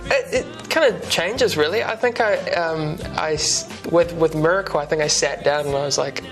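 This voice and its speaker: A young man in his twenties with a New Zealand accent, chatting as a musician guest in a relaxed, rambling way with lots of ums and restarts. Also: fairly high pitch, normal speed, over music. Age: 20s. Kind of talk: casual interview chat. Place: TV studio couch.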